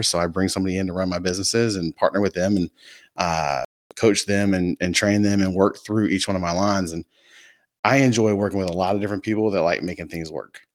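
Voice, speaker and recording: A man's voice: low-pitched, dry recording, studio quality. A man guesting on a podcast in casual chat, laid-back and matter-of-fact, with some teasing. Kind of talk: casual conversational interview.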